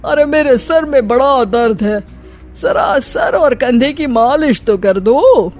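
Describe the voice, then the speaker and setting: A performer voicing an older father-in-law in a cartoon story, a teasing husband with theatrical, drawn-out calls. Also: sits high, lively intonation, darkish tone, faint music behind. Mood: wheedling, flattering.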